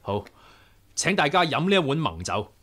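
Earnest, expressive rebel leader. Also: male voice, a little high, moderate pace. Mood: solemn. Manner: formal, resolute. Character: earnest, courteous young leader of a rebel brotherhood. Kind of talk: Cantonese radio drama acting. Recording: background music under the voice.

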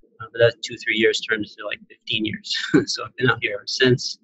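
A man in his forties on a video call, a real estate investor telling his story in a relaxed, rambling way with many fillers. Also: fairly low pitch, fairly quick, husky, dry recording. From Syria, raised in New York and New Jersey. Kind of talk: casual interview on a video call. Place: indoor room, on a video call. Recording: dull lo-fi video call audio.

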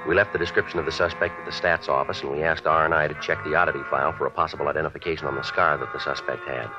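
A very low-voiced American police detective in a vintage radio crime drama. He talks quickly in short, flat, official phrases, giving nothing away. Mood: matter-of-fact. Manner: deadpan, clipped, matter-of-fact. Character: terse, businesslike male police sergeant detective. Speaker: lead actor and narrator. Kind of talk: 1950s radio police drama, clipped narration and dialogue. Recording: dull vintage radio recording with music.

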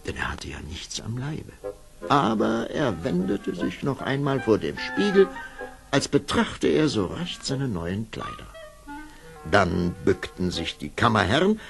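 A man narrating a scripted fairy tale as an expressive storyteller. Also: fairly low pitch, a little slow, gravelly, over music.

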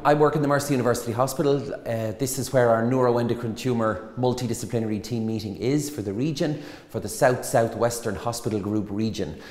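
Irish male consultant, 40s, brisk; lapel mic, reverberant room. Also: mid-range voice, a little mellow. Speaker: hospital consultant. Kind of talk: interview explanation. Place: small hospital room with stone wall. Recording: echoey room with long reverb.

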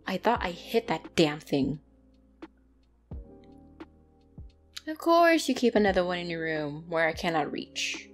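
Woman acting a bratty tsundere catgirl, very expressive. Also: fairly low pitch, moderate pace, faint music behind, lightly acted. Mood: annoyed, scolding. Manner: indignant, defensive, stammering when flustered. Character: bratty, flustered young female tsundere catgirl. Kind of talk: ASMR roleplay acting addressed to the listener.

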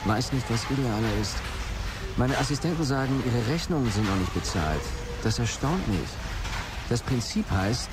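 A man's voice: mid-range voice, animated, normal speed, heavy background noise, lightly acted. Actor as an eccentric, philosophizing male creator. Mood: musing, disdainful. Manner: pronouncing, philosophical, literary. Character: eccentric, musing male game creator, travelling visionary. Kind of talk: German audio drama acting.

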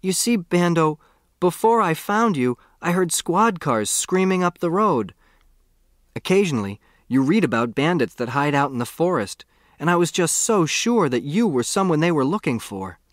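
A man's voice: on the high side, big pitch swings, normal speed, no room sound, studio quality, voice pushed up. A man voicing an earnest, curious boy who lives in the woods, in an audiobook. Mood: excited. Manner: earnest, inquisitive.

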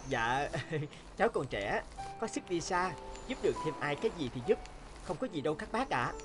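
An actor voices an earnest, eager young fisherman in a cartoon fairy tale. He is brawny and good-hearted, his pitch swings widely, and music plays clearly behind him. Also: big pitch swings, normal speed, natural voice. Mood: humble, cheerful. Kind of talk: cartoon dub acting.

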